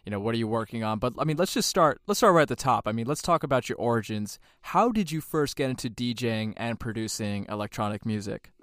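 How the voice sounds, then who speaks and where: Man, smooth resonant voice, dry close sound, upbeat casual host. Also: medium pitch, expressive, fast talker, few pauses, fairly bright tone, dry recording. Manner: enthusiastic, upbeat, many fillers. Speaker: podcast host. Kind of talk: casual interview hosting.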